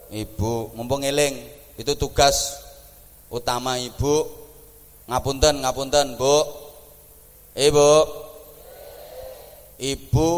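A man with an East Javanese accent, giving a humorous religious sermon in an animated, teasing way. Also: mid-range voice. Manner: joking, animated, teasing the audience. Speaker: preacher. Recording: echoey hall.

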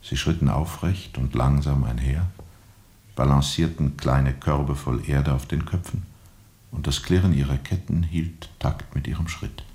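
A man acting a brooding, haunted steamboat captain in a radio drama, grave and measured, often sinking toward a whisper. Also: extremely low pitch, fairly even intonation, darkish tone. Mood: grave, bitter. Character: brooding, haunted steamboat captain recalling a colonial river journey.

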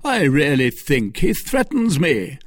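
A man's voice: a little high, sing-song, takes it slowly, no room sound, voice pushed up, heavily acted. A man voicing a proud, commanding duke who answers with haughty dismissal. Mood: scornful.

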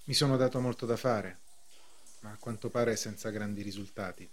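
A man's voice: lowish voice, moderate pace, natural voice. An actor playing a troubled, defensive accused man in a radio play, with an earnest way of talking. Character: troubled accused bank man protesting his innocence. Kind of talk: theatrical radio drama acting.

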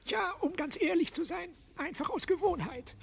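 A woman's voice: extremely high pitch, lively intonation, normal speed, vocal fry, full character voice. A woman playing a blasé, chatty male mouse in a radio play, offhand and dismissive. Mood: fed up.

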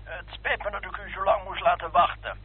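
A man's voice: sits high, steady tone, a little fast, narrow, tinny audio, slight background noise. An actor playing a polite, hesitant, fussy male library clerk speaking on the phone in a vintage Dutch radio play. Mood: apologetic, formal. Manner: hesitant, formal, obliging. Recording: thin telephone sound, vintage radio recording.

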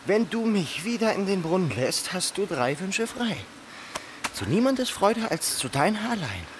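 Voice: mid-range voice, sing-song, noisy background. Sly, wildly swooping talking fish. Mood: sly, bargaining. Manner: formal, wheedling, deliberate. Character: huge ugly warty talking fish, sly bargainer. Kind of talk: radio play acting.

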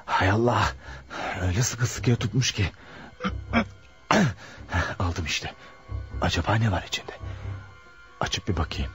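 A man's voice: sits low, unhurried, pauses often, slightly rough, soft background music, full character voice. An actor playing a nervous, frightened, stammering male driver in a radio play, exclaiming and muttering to himself. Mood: anxious musing. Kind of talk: Turkish radio theatre acting.

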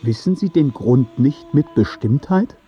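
Persistent, husky-voiced male judge. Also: medium pitch, lively intonation, a little slow, dull audio. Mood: firm. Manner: formal, insistent questioning. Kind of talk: German radio play acting.

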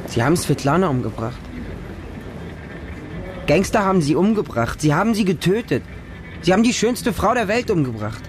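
A man's voice: a little high, close to an everyday voice. Radio drama: male actor as homeless street kid, frightened yet devoted, childlike simple phrasing, music bed underneath. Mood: shocked, bitter. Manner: short, simple, childlike sentences. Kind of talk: first-person radio drama monologue.

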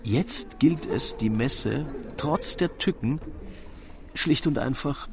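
A man narrating a scripted rhyming story in a theatrical, deliberate storytelling manner. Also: mid-range voice, noisy background.

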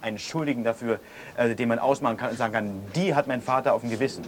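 A man's voice: medium pitch, fast, a little hiss or hum, close to an everyday voice. An actor playing an embittered, vengeful man who searches for his words as in a real interview. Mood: bitter, vengeful. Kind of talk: naturalistic interview-style radio drama.

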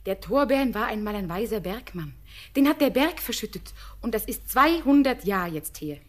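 A caring teenage daughter in a classic radio play. Her voice is fairly high and swoops with lively intonation, urgent and soothing yet firm. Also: normal speed. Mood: gently firm. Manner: urgent, reassuring questions.

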